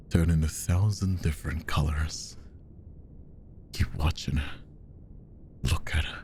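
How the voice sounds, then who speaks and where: A man playing a possessive, menacingly tender magician hypnotist in roleplay ASMR, speaking softly and coaxingly, often slipping into whispers. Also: slight background noise, full character voice. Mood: hypnotic, coaxing. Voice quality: soft, very deep, gravelly. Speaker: voice actor.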